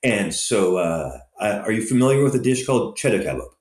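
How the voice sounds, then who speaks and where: Guy in restaurant biz telling stories, lowish voice that swings around a lot, echoey room. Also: fairly quick. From Southern California. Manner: anecdotal, many fillers, lively storytelling. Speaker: restaurant operator and investor guest. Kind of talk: conversational interview answers.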